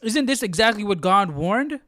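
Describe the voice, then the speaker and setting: A man's voice: high voice, lively intonation, moderate pace, fairly bright tone, dry recording, studio quality. A man hosting a live Bible study, reacting casually and incredulously, often repeating himself.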